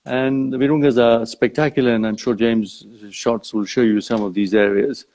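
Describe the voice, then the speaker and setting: An older man from Uganda speaking as an interview guest in a live online chat, thoughtful and halting as he phrases things. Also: mid-range voice, steady tone, darkish tone. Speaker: interview guest, safari company founder. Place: live social media video chat.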